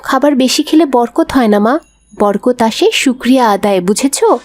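Woman as a high-voiced, gentle cartoon mother. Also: animated, normal speed, lightly acted. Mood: gentle, advising. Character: gentle, grateful, pious mother of modest means. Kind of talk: children's cartoon voice acting.

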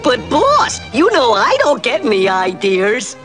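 A man's voice: very high-pitched, wildly swooping pitch, a little slow, full character voice. A man voicing a big dim-witted gangster henchman, eager to please, dopey and stammering. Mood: pleading. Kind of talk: classic theatrical cartoon acting. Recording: old cartoon soundtrack with loud music.

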